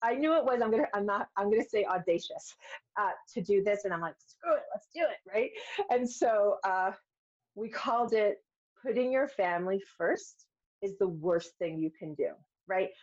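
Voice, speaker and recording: Middle-aged woman, candid podcast guest, personal storytelling, medium pitch, acts out quotes, slightly dull lo-fi recording. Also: animated, moderate pace. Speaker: podcast guest, filmmaker and speaker. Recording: dull, lo-fi sound.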